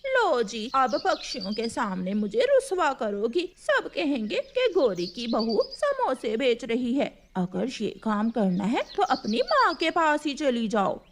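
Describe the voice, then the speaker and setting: A woman's voice: on the high side, huge pitch swings, slow, few pauses, slight background noise, heavily acted. A woman voicing a scheming, bossy mother-in-law crow in a children's cartoon. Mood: bitter scolding.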